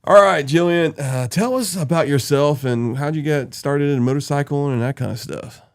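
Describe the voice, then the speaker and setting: A man's voice: on the high side, normal speed, smooth. A man hosting a live podcast with loose, joking, rambling talk. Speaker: podcast host. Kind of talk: casual live-stream chat.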